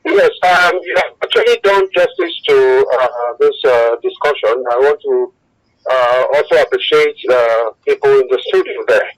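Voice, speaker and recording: A man's voice: mid-range voice, normal speed. A Nigerian-accented man calling a radio phone-in, giving impassioned opinions emphatically and repeating his phrases over a phone line. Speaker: phone-in caller, broadcast journalist.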